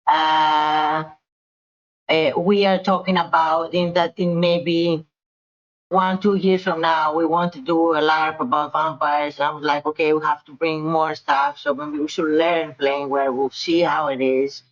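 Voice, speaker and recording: Woman player, slow and eager, muffled lo-fi audio. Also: deep voice, fairly even intonation. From Greece. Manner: eager, chatty. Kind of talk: casual self-introduction.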